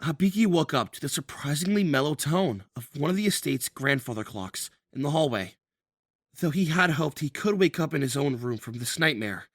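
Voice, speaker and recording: A man acting as the storyteller of an audio drama, reading scripted narration in a husky voice. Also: a little high, lively intonation, normal speed, dry recording, clean studio sound. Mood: neutral.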